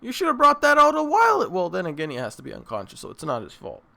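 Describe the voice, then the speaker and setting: A man's voice: high-pitched, wildly swooping pitch, fast, gravelly, sharp tone. A man hosting a reaction channel, rambling casually to his viewers in informal slang and repeating himself for emphasis. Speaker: host of an anime reaction channel.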